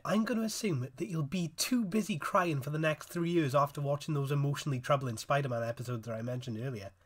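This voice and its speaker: A man's voice: fairly high pitch, a bit bright, husky. A man narrating a scripted video essay as host, with a wry manner. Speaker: host of a cartoon review video.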